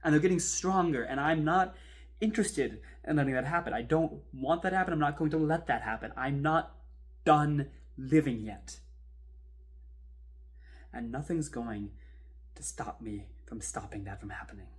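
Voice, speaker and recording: A man playing an anxious, bitter man terrified of death, speaking in halting, intense bursts in a confessional horror drama. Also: fairly high pitch, natural voice. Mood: desperate, defiant. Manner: halting, intense, breaking phrases apart.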